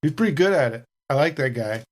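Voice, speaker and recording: Man acting a easygoing marine, fairly high male pitch, rambling, slangy, friendly, tabletop banter. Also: expressive, fast talker, natural voice. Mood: amiable. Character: laid-back, simple, friendly space marine. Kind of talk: improvised tabletop roleplay banter.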